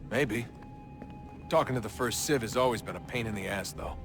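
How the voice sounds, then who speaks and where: A man's voice: fairly low pitch, normal speed, over music, lightly acted. An actor playing a blunt, frustrated young American man, a reluctant hero in a video game. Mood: thoughtful. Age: young adult. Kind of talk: naturalistic video game acting.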